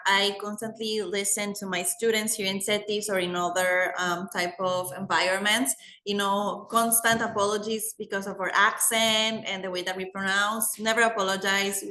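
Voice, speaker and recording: A woman's voice: mid-range voice, sharp tone. A woman moderating an online panel over a video call, encouraging and full of hesitant fillers. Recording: echoey room.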